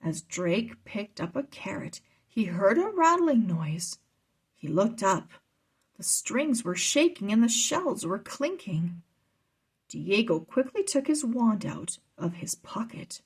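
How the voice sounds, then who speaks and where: A woman narrates a children's story aloud. Her voice is very husky and soft-edged, and she reads slowly with lively rises and falls. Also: mid-range voice. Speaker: storybook reader.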